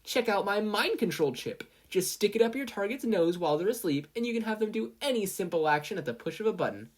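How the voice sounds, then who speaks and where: A narrator voicing a smug teenage girl inventor who pitches in a peppy, taunting way in a story reading. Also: sing-song, fast talker. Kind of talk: podfic character voice.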